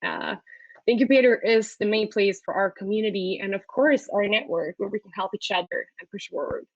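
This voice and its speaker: A woman's voice: mid-range voice, animated, brisk, dry recording, lo-fi sound. A woman hosting a webinar, presenting a student startup hub in an upbeat way with frequent fillers, heard over a video call. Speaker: webinar host.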